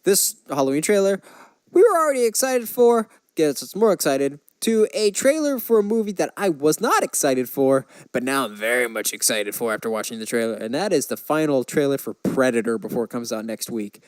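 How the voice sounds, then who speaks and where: A man's voice: medium pitch, lively intonation, fairly quick, clear, resonant tone, dry recording. A man co-hosting a podcast, chatting casually and enthusiastically about his opinions as a fan. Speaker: podcast co-host. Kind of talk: casual movie chat.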